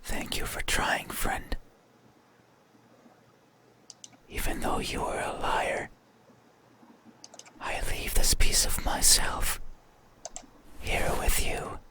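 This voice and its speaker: A performer voicing an eerie, mysterious being in a horror game, menacing yet sorrowful, speaking in a breathy, halting, insistent whisper. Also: close to an everyday voice. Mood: mournful. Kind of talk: horror visual novel voice acting.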